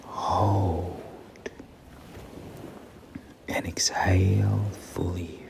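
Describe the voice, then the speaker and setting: A man guiding a meditation in a soothing, breathy near-whisper with long pauses. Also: low-pitched, level tone. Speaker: meditation guide. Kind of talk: slow guided meditation. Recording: clearly audible background ambient noise.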